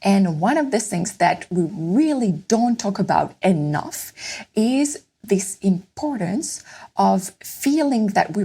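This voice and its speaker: Raspy French woman, earnest podcast guest. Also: medium pitch, warm tone. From France. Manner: earnest, explanatory. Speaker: guest neuroscientist. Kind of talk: podcast interview.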